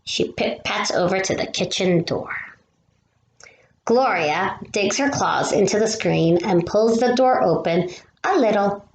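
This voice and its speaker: A woman reading a children's storybook aloud expressively, with animated, drawn-out emphasis. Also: medium pitch. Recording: roomy echo with faint noise.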